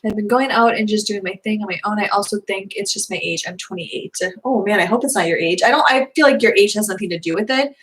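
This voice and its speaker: A young woman talks her way casually through viewer questions at home, her voice a little hoarse. She rambles and restarts, filling gaps with "um" and "like." Her pitch sits in a plain middle range. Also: fast, hardly any pauses, fairly bright tone, low-quality recording. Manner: chatty, rambling with many fillers. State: slightly hoarse. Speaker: vlogger answering viewer questions. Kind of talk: casual live Q&A chat.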